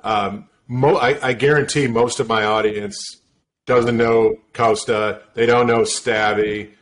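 Male podcast host, casual and agreeable, mid pitch. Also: fairly even intonation, takes it slowly. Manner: casual, agreeable, short affirmations. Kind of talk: podcast interview.

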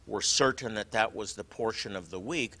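A man hosting a religious show, teaching conversationally, often halting and repeating words as he explains. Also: lowish voice, sharp tone.

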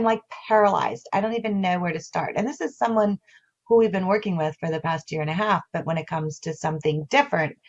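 A woman who coaches on video marketing, talking in a casual interview with animated delivery and lots of restarts. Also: medium pitch, slightly rough. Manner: animated, many restarts and fillers. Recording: dull lo-fi audio.

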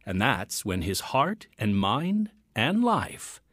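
A man's voice: fairly low pitch, big pitch swings, slow. A man narrating a scripted radio drama as its announcer, solemn and theatrical, stretching out key phrases.

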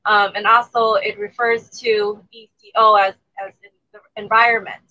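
A woman from Thailand who grew up in the U.S., speaking as an interview guest with an American accent. She is soft-spoken and trails off at the ends of phrases. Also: flat delivery, moderate pace. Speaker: guest, community organizer. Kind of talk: interview answers. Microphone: low-level remote mic. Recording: dull low-level remote mic.

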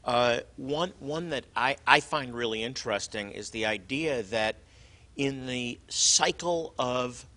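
A man's voice: medium pitch, slow, bright, forceful tone. A man hosting a conversation, talking earnestly with frequent hesitations and restarts. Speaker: host. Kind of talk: conversational interview.

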